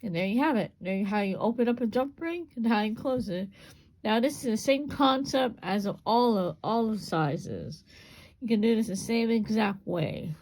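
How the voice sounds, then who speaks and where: A woman with a gravelly, hoarse voice demonstrates a small craft step by step. Her talk is relaxed and unscripted, with plenty of repeats as she works. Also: fairly high pitch, normal speed, poor audio. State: voice going hoarse. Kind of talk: casual hands-on craft demonstration.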